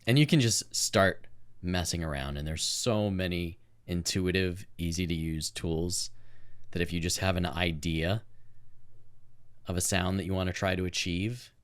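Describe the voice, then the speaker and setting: A man co-hosting a podcast, talking casually and informally with plenty of enthusiasm. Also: deep voice. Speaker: podcast co-host and amateur theme music maker. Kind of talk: casual chat.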